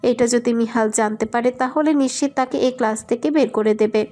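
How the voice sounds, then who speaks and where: Higher-pitched woman narrating a story. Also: fairly even intonation, normal speed, mellow. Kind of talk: scripted romantic story narration.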